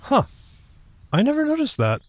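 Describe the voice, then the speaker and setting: An actor playing a polite, timid young male raccoon dog in an audio drama, hesitant and gentle. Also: sits high, wildly swooping pitch, moderate pace, a little hiss or hum. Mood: friendly, concerned. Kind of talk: audio drama character acting.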